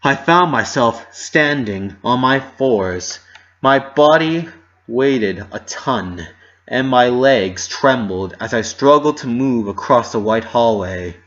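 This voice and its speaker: A man's voice: medium pitch, lively intonation, takes it slowly, a bit bright, muffled recording. A man giving a theatrical, emotive dramatic reading of a first-person story. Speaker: amateur story reader.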